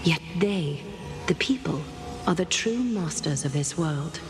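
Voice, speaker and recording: Game matriarch: composed proud leader, lowish, stately slow delivery, long reverb over music. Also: female voice, expressive. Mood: solemn. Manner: poised, regal, measured. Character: composed, proud, commanding female leader and teacher. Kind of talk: video game voice acting.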